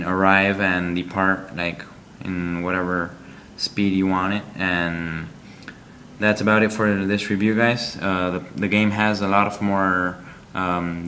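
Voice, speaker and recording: Deep-voiced guy doing a game review walkthrough, pauses and ums a lot. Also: level tone, pushed and bright, slight background noise. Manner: halting, explanatory, frequent ums. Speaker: app reviewer.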